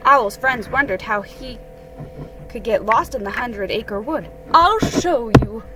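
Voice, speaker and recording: A woman storyteller giving an expressive, deliberate dramatic reading of a children's story. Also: a little high, very noisy.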